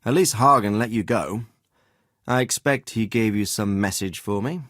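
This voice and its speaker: An actor playing a middle-aged noble lord and commander in a video game, with a measured, formal and paternal manner. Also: male voice, fairly low pitch, a bit bright, dry recording, studio quality, close to an everyday voice. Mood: startled, concerned. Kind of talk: acted video game dialogue.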